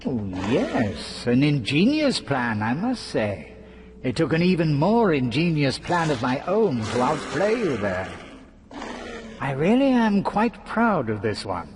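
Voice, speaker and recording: Mocking game villain, higher-pitched male voice, very animated swoops, theatrical relish, ambient noise behind. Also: unhurried. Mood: boastful. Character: gleeful, taunting male trickster schemer holding court. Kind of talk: video game cutscene villain acting.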